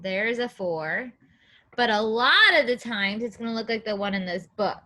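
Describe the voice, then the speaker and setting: Bright, animated woman teaching small children. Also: on the high side, normal speed. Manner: animated, sing-song, encouraging, simple phrasing for young children. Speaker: preschool teacher reading aloud. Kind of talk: children's read-aloud lesson with questions and counting.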